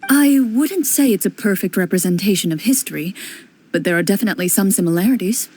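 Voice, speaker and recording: A young woman playing a composed, serious female duelist, speaking in a calm, measured way as she explains history in game dialogue. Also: medium pitch, husky. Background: game music.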